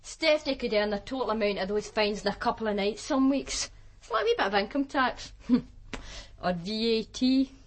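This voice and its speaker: An actress playing a streetwise, defiant Glasgow sex worker with a Glaswegian accent, blunt and colloquial in a radio drama. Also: female voice, fairly high pitch, lively intonation, moderate pace, natural voice. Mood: wry, bitter. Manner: blunt, colloquial, matter-of-fact. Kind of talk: naturalistic radio drama acting.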